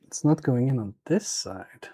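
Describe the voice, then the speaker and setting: A man's voice: mid-range voice, animated, measured pace, warm tone, husky. A man with a Swedish accent, thinking aloud and muttering to himself as he repairs a toy on a live stream. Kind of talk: casual live-stream commentary while repairing.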